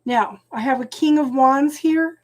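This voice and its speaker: A woman doing a live tarot reading at home, talking slowly and soothingly, often checking in with "okay?", and clearing her throat as she loses her voice. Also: high-pitched. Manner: slow, soothing, keeps adding "okay?". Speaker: tarot reader.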